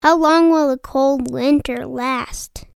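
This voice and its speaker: A man's voice: very high voice, steady tone, slow pace, gravelly, no echo, clean studio sound, voice pushed up, heavily acted. A man voicing a small, timid young male ant in a children's story, childlike and hesitant. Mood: worried.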